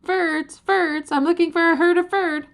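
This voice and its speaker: A woman voicing an excitable, stubborn male furry bird-fish creature in a storybook, given to exclaiming. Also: very high-pitched, unhurried, vocal fry, voice pushed up, exaggerated voice. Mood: calling out excitedly. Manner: exclamatory, yelling when excited.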